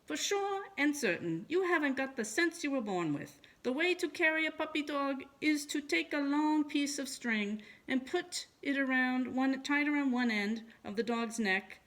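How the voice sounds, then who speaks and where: A woman voicing an exasperated mother who scolds her young son, emphatic and shrill. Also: lively intonation, moderate pace, hardly any pauses, fairly bright tone.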